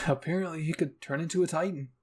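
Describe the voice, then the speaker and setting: A man's voice: fairly high pitch, fairly even intonation, fairly quick, a little mellow, husky. A man narrating a scripted first-person fan fiction story as an ASMR roleplay reading.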